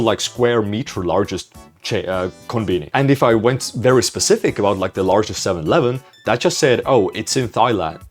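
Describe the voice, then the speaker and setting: A man's voice: mid-range voice, husky. A young man answering viewer questions in a casual vlog, rambling, self-mocking and swearing offhandedly. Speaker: vlogger.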